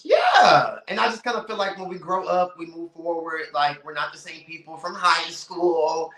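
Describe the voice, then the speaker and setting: A man in his thirties hosting a casual interview podcast, teasing and playful with quick exclamations. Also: a little high, animated, pushed and bright, echoey room, rough recording. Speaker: podcast host.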